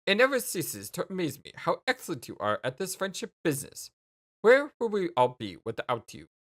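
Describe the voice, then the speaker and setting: A woman's voice: fairly low pitch, sing-song, normal speed. A woman voicing an oblivious, apologetic young man who rambles in wordy, old-fashioned folksy slang in a dramatic dialogue. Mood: chatty, oblivious.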